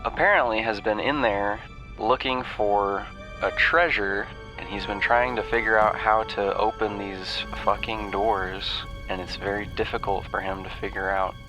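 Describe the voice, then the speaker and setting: A man's voice: fairly low pitch, steady tone, normal speed, rough recording. A man giving a casual game recap in an offhand, rambling way, swearing freely. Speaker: host recapping a video game quest. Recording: music behind the voice.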